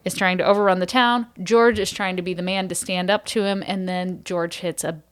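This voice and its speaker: Lower-voiced woman chatting as a guest. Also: fairly even intonation, brisk, clean tone. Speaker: stage actor, podcast guest. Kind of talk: casual interview, explaining.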